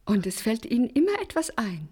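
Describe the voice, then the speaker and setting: An actress playing an eager, flirtatious secretary with a husky voice, in naturalistic drama dialogue. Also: female voice, a little high, sing-song, fairly quick, a little mellow. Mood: eager, curious. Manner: inviting, curious, admiring. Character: eager, flirtatious small-town mayor's secretary.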